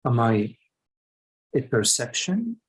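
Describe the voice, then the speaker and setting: A man leading a guided meditation as a teacher, speaking in short phrases with long pauses between them. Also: medium pitch, big pitch swings, breathy edge.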